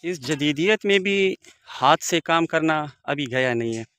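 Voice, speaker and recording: A man's voice: a little high, lively intonation, a little slow, fairly bright tone, clean tone. A man in his forties walking outdoors and narrating a village vlog into his phone, speaking formally and devoutly with Arabic blessings. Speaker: village vlogger. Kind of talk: walking tour commentary. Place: outdoors in open riverbed countryside. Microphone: phone camera held by the speaker.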